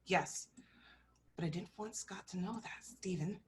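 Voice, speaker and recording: A woman playing a surly, bossy young woman with a sharp, scolding manner, in a comedy table read. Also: lowish voice, unhurried, close to an everyday voice. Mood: surly, guarded.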